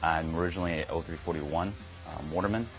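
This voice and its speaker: Very deep-voiced young Marine over music. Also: male voice, fairly even intonation, fast. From Kazakhstan. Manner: earnest, conversational, many fillers and restarts. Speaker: Marine sergeant. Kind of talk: recruiting interview. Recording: music bed under the voice.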